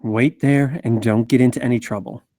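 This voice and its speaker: A man's voice: medium pitch, steady tone, moderate pace, mellow, no echo, studio quality, close to an everyday voice. A man at a script read playing an earnest, lovestruck man who speaks in terse, insistent lines. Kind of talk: screenplay table read acting.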